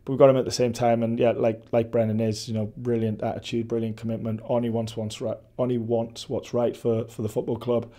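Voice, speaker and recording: A Welsh man in his forties, a football head coach, giving a measured post-match interview with many restarts and fillers. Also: mid-range voice, flat delivery, dark tone. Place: indoor press backdrop at a stadium.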